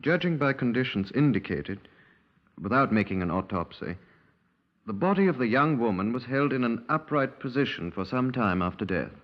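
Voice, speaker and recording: An actor playing a precise, cautious British medical examiner in a 1950s radio crime drama, clinical and formal in manner. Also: male voice, medium pitch, expressive, moderate pace, natural voice. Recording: dull 1950s radio recording.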